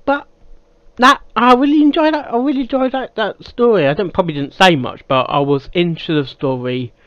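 A man giving casual reaction commentary as a video host, in a halting way that repeats phrases. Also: sits high, no room sound. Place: small cluttered room indoors. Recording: slightly dull, dry sound.

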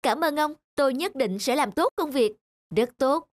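Woman doing a high-pitched weary young man. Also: lively intonation, normal speed, no echo. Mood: hesitant. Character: sly, weary young male photographer who longs for sleep. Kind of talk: cartoon dub.

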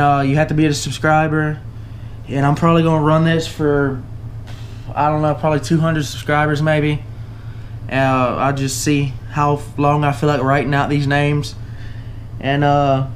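A young man with a Southern US drawl talks through his tackle in a relaxed, halting way, full of uh and um. His voice sits a little high for a man. A faint hiss runs under the camera-mic recording made indoors. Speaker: fishing channel host. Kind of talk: casual tackle giveaway walkthrough. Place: indoors at a table, camera overhead.

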